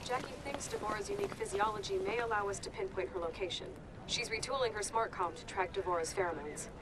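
An actress playing a young soldier in a video game, reporting to her commander in a brisk, businesslike way. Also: mid-range voice, expressive, close to an everyday voice. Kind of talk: dramatic video game cutscene acting. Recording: noisy outdoor comm call.

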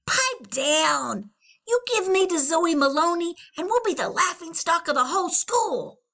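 A woman's voice: extremely high pitch, sing-song, a little slow, gravelly, bright, forceful tone, voice pushed up, full character voice. A woman voicing a grumpy, candy-loving male valentine card that runs away, bossy and mocking. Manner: bossy, mocking sing-song taunts.

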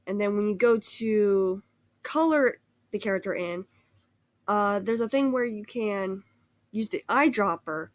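A woman's voice: mid-range voice, lively intonation, a little slow, no echo. Rambling young woman with a cold, dry sound. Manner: rambling, hesitant, many restarts and fillers. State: sick with a cold. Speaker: art critique video host. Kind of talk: casual art critique over screen recording.

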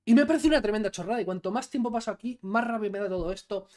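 A man's voice: high-pitched, rapid-fire, darkish tone. A man in his thirties from Catalonia giving indignant, emphatic commentary on clips, seated in a small room. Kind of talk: opinionated commentary video. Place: small room with blue LED lights, indoors.

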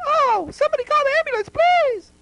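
A hapless little male doll from a homemade puppet comedy. The voice is extremely high and piercingly bright, whining and wailing in helpless panic, with a dry, close sound. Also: expressive, a little fast, no echo, full character voice. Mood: panicked, wailing. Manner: whiny, wailing, exclamatory.

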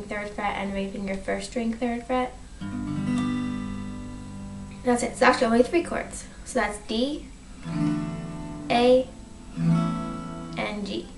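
A woman's voice: on the high side, steady tone, moderate pace, long pauses, echoey room, poor audio. A young woman with a cold, sniffling as she gives a casual guitar tutorial from her bedroom. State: sick, sniffling. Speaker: guitar teacher. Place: small bedroom.

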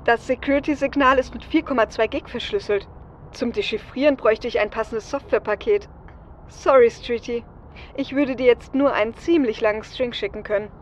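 A woman's voice: fairly high pitch, a little fast, close to an everyday voice. A woman playing a flippant female hacker on a heist team in an audio drama, speaking casually. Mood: urgent. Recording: dull, noisy audio.

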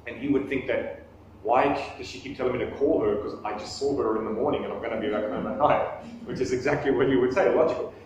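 A man's voice: mid-range voice, fairly even intonation, fast talker, hardly any pauses, a little mellow, muffled recording, slight background noise. Man speaking in an echoey room. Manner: conversational, self-deprecating humor, frequent restarts. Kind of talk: live talk with questions. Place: small meeting room, indoors. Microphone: far camera on table. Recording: echoey room, distant camera mic.

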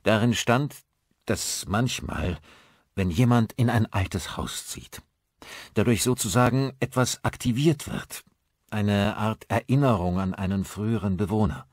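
A man playing a calm husband in an audiobook, soothing, measured and earnest as he tries to stay rational. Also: on the low side, no echo, studio quality, voice pushed up. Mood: explaining. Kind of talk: dramatised audiobook dialogue.

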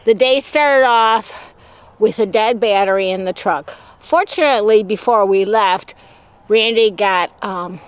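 A woman giving casual selfie narration outdoors at a campground, halting now and then to search for words. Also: medium pitch, smooth. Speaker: co-host of RV travel vlog.

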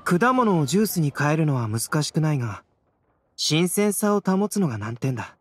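An actor playing a calm young male alchemist scholar in an anime game, measured, wry and softly teasing. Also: on the high side, slight background noise. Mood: calm, amused. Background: game music.

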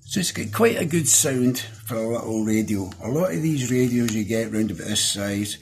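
A man's voice: medium pitch, animated, normal speed, faint music behind. A middle-aged Scottish man giving a casual, chatty, down-to-earth hands-on product review. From Scotland. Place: small indoor room at a desk.

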